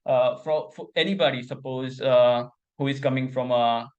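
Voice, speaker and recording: A man's voice: medium pitch, fairly even intonation, normal speed, darkish tone, highs cut off. Rambling young man hosting an interview. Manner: rambling, loose grammar, frequent restarts and fillers. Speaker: interview host. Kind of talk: casual interview.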